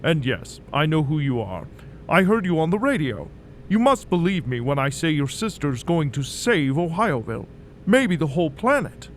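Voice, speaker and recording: A man's voice: fairly high pitch, sing-song, moderate pace, slight background noise. A man playing a genteel, sinister doctor with a patronizing, unctuous manner in an audio story. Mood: smooth, patronizing. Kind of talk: scripted audio fiction villain acting.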